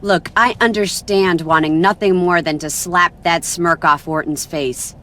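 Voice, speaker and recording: A woman's voice: on the low side, steady tone, measured pace, a bit bright. A woman playing a stern, exasperated wrestling executive who is in charge, in a video game cutscene. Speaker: executive character. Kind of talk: scripted game cutscene dialogue.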